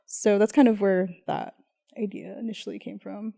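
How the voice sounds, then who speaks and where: A woman speaks in a warm, dark tone with a gravelly edge. She wanders through her point casually, adding fillers as she goes. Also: mid-range voice, steady tone, normal speed. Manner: rambling, many fillers. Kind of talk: casual chat.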